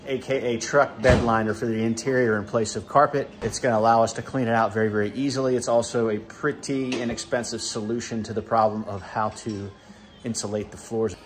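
A man's voice: mid-range voice, steady tone, a little fast. A man hosting a car vlog, talking casually and informally as he explains his project. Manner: informal, slangy. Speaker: car channel host working on his own Porsche builds.

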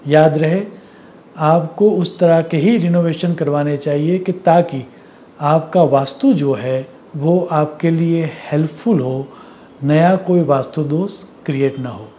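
Slow, mellow middle-aged man teaching. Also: a little high, slight background noise. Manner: measured, didactic, mixes in English words. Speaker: Vastu consultant presenting. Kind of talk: instructional talk to camera. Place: indoor office or study. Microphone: lapel mic.

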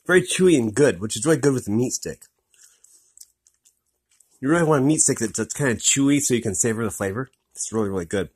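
A man talks through a snack review from behind his phone camera, hesitating with frequent ums and restarts. His voice sits at an ordinary middle pitch, and he circles back to the same praise over and over in an easygoing, unscripted way. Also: animated, quick pace, long pauses, breathy edge. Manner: hesitant, many ums, repeats "really, really good". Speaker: product reviewer. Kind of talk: casual food review vlog.

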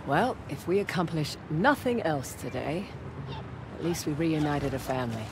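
A woman's voice: deep voice, lively intonation, normal speed, noisy background. Wry adventurous woman treasure hunter. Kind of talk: video game acting, naturalistic dialogue. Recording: game soundtrack music underneath.

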